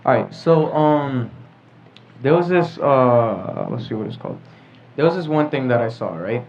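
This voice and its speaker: A man's voice: medium pitch, expressive, measured pace, darkish tone. A teenage male podcast host leading a casual group discussion, often checking in with "right?" as he explains and prompts. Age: teens. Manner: prompting with frequent "right?" tags. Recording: dull lo-fi audio, background noise.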